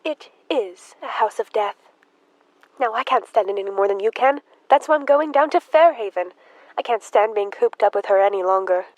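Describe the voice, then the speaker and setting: A woman's voice: medium pitch, lively intonation, normal speed, no echo, close to an everyday voice, rough recording. A young woman acting a nervous, fretful, genteel spinster in an audio stage play, flustered and easily agitated. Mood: weary, tense. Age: young adult.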